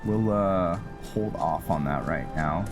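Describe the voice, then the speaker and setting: Male game host, deep and unhurried, halting, loud game music underneath. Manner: halting, many fillers and restarts. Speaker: roleplay gaming host. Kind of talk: casual gameplay commentary.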